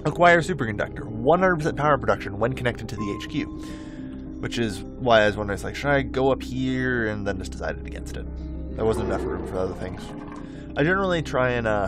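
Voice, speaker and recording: A man's voice: fairly low pitch, lively intonation, a little fast, music in the background. A man giving casual gameplay commentary, thinking aloud in a rambling way and often correcting himself. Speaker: host of a gameplay video.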